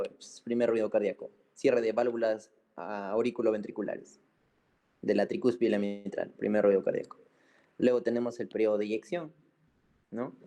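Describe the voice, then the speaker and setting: Mellow man teaching informally. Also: lowish voice. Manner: didactic, colloquial, with frequent check-in tags and repetition. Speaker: medical physiology teacher. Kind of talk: informal explanatory lecture.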